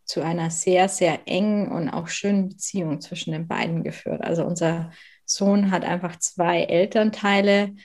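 Low-voiced woman with a light Bavarian accent. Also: fairly even intonation, moderate pace, a little mellow. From southern Bavaria. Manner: frequent hesitations, thoughtful and earnest. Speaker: guest, working mother. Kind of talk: conversational interview.

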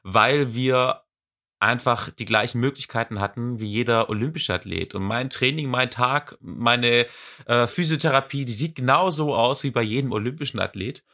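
A young man from Swabia with a light Swabian accent, a para athlete chatting casually in a podcast interview, thoughtful and relaxed with frequent fillers. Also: medium pitch, moderate pace. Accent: light Swabian German. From Swabia, Germany.

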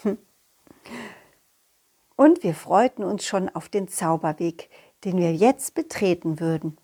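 A woman's voice: lowish voice, slow pace, pitched below the performer's own voice. A woman voicing a cheerful, confident, loyal talking dog who tells his adventures playfully and expressively in a children's audio play. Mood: cheerful, narrating. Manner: playful, expressive, addressing a companion.

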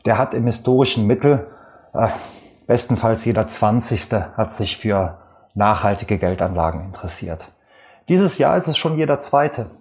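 A man with a Rhineland German accent, a guest expert giving measured, explanatory answers with frequent fillers in an interview. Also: fairly low pitch, lively intonation, darkish tone.

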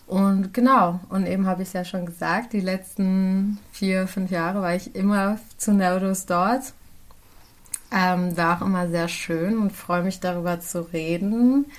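A woman in her twenties, born in Germany, speaking as a podcast guest in a relaxed, hesitant way with many fillers and restarts. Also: medium pitch, measured pace. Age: 20s. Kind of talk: casual conversational interview.

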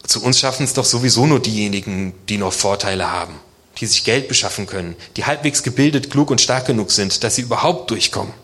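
A man's voice: fairly low pitch, fast talker, pushed and bright. A man from Germany preaching to a congregation in a casual, conversational way, often posing rhetorical questions. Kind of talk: conversational sermon addressing a congregation.

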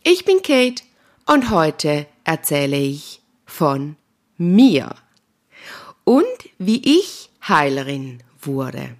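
A woman with a Swiss German accent speaks in a low voice with plenty of creak. She talks informally and straight to the listener, as the host of a casual solo podcast. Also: big pitch swings, extremely slow. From Switzerland. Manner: chatty and informal, addresses the listener directly. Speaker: podcast host and spiritual healer.

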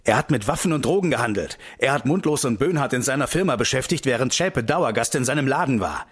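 A man's voice: medium pitch, lively intonation, fast, husky. An actor playing a combative male investigator in a radio play, indignant and bitingly sarcastic. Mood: sarcastic, accusing.

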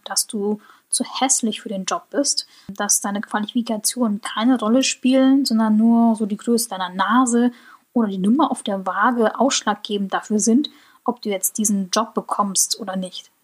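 A woman from Germany hosting a solo podcast, giving her opinions in a reflective way and slowing down on key points. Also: fairly high pitch, slightly rough. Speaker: podcast host.

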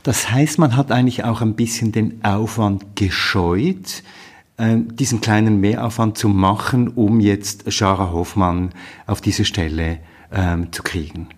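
A man, hosting a discussion and asking probing, measured questions. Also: on the low side, steady tone, breathy edge. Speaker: talk show host. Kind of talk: moderated studio discussion.

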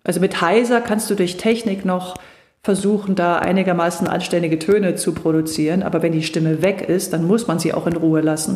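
A middle-aged woman with a slightly low voice talks through stage fright in a calm, explanatory way. She reassures her conversation partner like an experienced coach. The room around her has a noticeable echo. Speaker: voice and charisma coach. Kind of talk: podcast conversation. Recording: echoey room.

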